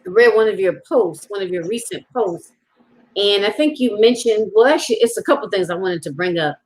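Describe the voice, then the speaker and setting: A cheerful woman hosts an online talk show. She welcomes viewers with bright enthusiasm and quizzes her guest in a chatty, easygoing way. Her voice sits at an ordinary middle pitch. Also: fairly quick, low-quality recording. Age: older than guest.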